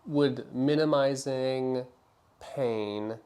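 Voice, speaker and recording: A man's voice: mid-range voice, very slow, warm tone, smooth. A man talking casually as a physical therapist, explaining things to a patient in a reassuring way and often checking they follow.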